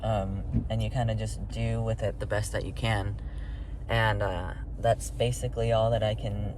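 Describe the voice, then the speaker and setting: A young man talking casually in a video diary, halting and rambling, with many ums and frequent small laughs. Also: on the low side, level tone. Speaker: vlogger. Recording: loud background noise.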